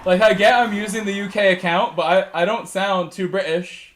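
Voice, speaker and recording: Chatty high-voiced Connecticut man. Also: fairly even intonation, moderate pace, fairly bright tone, faint music behind. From Connecticut, USA. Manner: chatty, laughs easily, talks to chat mid-race. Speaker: sim racing streamer. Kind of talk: casual live-stream chat while racing.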